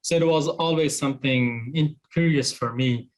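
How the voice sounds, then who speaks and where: Halting man from Afghanistan, interview guest. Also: medium pitch, rough recording. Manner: halting, many restarts and fillers. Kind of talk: casual interview.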